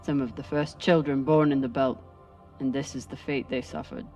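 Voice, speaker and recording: A woman's voice: very deep voice, fairly even intonation, moderate pace, a little mellow, recorded from a distance, faint music behind, rough recording. An actress playing a tough female ship captain in a video game, speaking in a terse, blunt way. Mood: grim.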